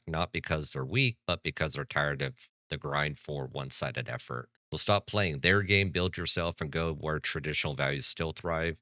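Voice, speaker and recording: A man's voice: extremely low pitch, bright, forceful tone, no echo, clean studio sound. A man hosting a reaction commentary, blunt and lecturing with a sarcastic edge. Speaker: commentary channel host.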